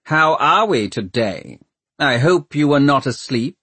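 Man playing a haughty, lively-pitched ruthless mayor. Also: slow, husky, no room sound, heavily acted. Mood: falsely cheery. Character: haughty, commanding male Lord Mayor, coolly ruthless villain.

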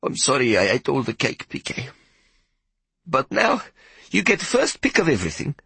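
A woman's voice: very deep voice, wildly swooping pitch, normal speed, creaky, a bit bright, breathy edge, no echo, voice pushed up, heavily acted. A man reading an audiobook, voicing a big, emotional woman on a train who coaxes, weeps and turns haughty. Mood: coaxing. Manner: coaxing, tearful, haughty.

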